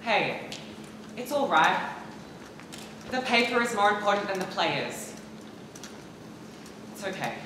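Young man as kindly exasperated schoolteacher, stage play, lively pitch, echoey hall, faint noise. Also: takes it slowly, long pauses, heavily acted. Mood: firm, consoling. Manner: exasperated, earnest. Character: disappointed but kindly high school teacher. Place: theatre stage, filmed from the audience. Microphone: far camera.

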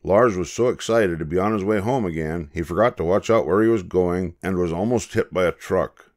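An older man narrating a children's picture book in a measured, expressive storytelling manner. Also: sits low. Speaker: storybook reader.

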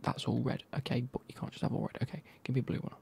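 A man, reviewing football kits in a soft, casual whisper with wry asides. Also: mid-range voice, fairly even intonation, very fast, creaky, breathy edge.

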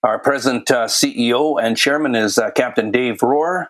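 A man from Canada, a volunteer chief pilot, answering interview questions in a relaxed, matter-of-fact way. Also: mid-range voice, normal speed.

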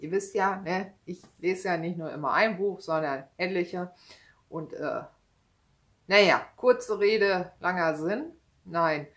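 A woman in her thirties chats about her reading pile in a room at home. She talks slowly in a lowish voice. She fills her sentences with hesitations and tag questions and laughs at herself now and then. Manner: chatty, many fillers and tag questions, self-deprecating laughs. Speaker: book vlogger. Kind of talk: casual book chat. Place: home room with bookshelves, indoors.

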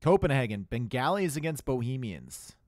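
A man's voice: medium pitch, moderate pace. A man with a Canadian accent giving chatty, joking live commentary on an esports match, with dry sarcasm. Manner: loud excited shouting in tense moments. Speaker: esports caster. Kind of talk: live game commentary with chat banter.

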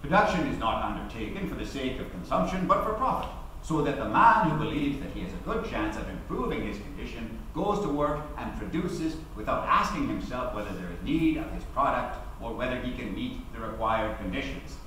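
A middle-aged man playing a defiant, eloquent socialist defendant addressing a jury in theatrical, deliberate courtroom oratory. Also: mid-range voice, expressive, pushed and bright. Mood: pointed, indignant. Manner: declamatory, deliberate, rhetorical. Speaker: actor giving a dramatic reading. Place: large auditorium, speaking at a podium. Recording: echoey hall, distant mic, noisy room.

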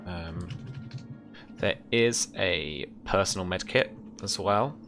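Low-voiced man narrating a tabletop game. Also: slow, fairly bright tone, soft background music. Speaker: game master. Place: home room, indoors.

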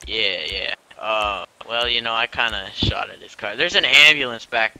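A man chatting casually while playing an online roleplay game, often pausing and hesitating as he talks. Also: fairly low pitch, pushed and bright, slight background noise, low-quality recording.

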